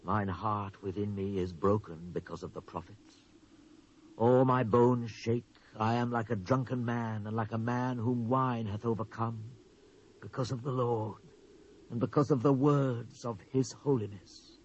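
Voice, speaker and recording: A British actor playing a stern, wrathful Hebrew prophet in a dramatised scripture reading, solemn and declamatory, swelling and dropping for emphasis. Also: male voice, medium pitch, steady tone, unhurried, a little hiss or hum, close to an everyday voice. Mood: grieving, solemn. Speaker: Bible narrator. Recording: dull lo-fi cassette transfer.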